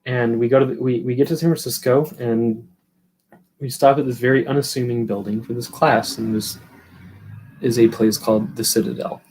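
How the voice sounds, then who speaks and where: A man's voice: medium pitch, steady tone, normal speed, a little mellow, a little hiss or hum, low-quality recording. A man in his thirties from California, hosting a live stream and telling a long story in a loose, rambling, foul-mouthed way while answering his chat. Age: 30s. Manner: profane, rambling, chatty, answers viewers' chat.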